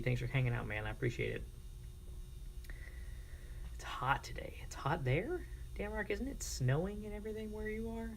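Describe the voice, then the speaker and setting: An American man streaming casually, chatting informally and reacting to viewers in a creaky, gravelly voice. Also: mid-range voice, slight background noise. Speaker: live streamer. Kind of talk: casual livestream chat with viewers.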